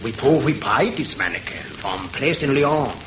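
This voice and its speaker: A man's voice: medium pitch, big pitch swings, normal speed, close to an everyday voice. A man playing a frightened, servile French shopkeeper in an old horror radio play, in hesitant broken English with a French accent. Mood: eager, protesting. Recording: muffled, noisy old radio recording.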